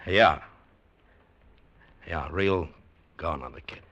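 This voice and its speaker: A man's voice: low-pitched, takes it slowly, a bit bright, dry recording, dull audio. An American actor playing a hard-boiled, world-weary man in a vintage radio drama, terse and grave. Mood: wry, resigned. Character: hard-boiled, world-weary lovesick male protagonist telling his story. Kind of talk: 1940s radio drama acting and first-person narration. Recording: old-time radio recording.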